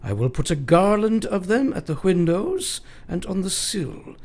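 A woman playing a grave, eccentric male professor of medicine in a radio play, speaking solemnly and deliberately. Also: lowish voice, big pitch swings, a little mellow. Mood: solemn, insistent. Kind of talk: radio drama acting.

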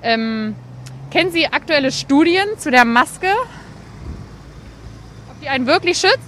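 A woman's voice: high-pitched, animated, measured pace, a bit bright. Young female street interviewer, city noise behind. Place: busy city street outdoors. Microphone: handheld microphone. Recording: outdoor street with traffic noise. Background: traffic and city ambience.

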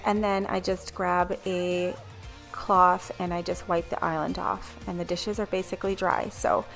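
A woman's voice: lowish voice, a little slow, creaky, faint music behind. A woman in her thirties narrating her home cleaning video in a casual, rambling voice-over. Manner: chatty, rambling, relaxed asides. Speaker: cleaning vlogger.

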